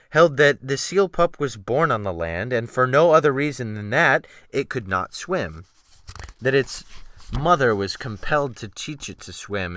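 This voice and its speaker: A man gives a dramatic reading of classic fiction. His phrasing is theatrical and deliberate, with a mid-range voice that rises and falls expressively. The recording is dry, with very little room sound. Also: mid-range voice, a bit bright, no echo. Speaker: audiobook narrator.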